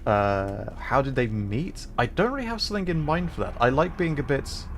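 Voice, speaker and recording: A man hosting a casual game livestream, talking in halting, hesitant phrases with pauses. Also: medium pitch, sing-song, fairly bright tone, a little hiss or hum. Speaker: livestream host.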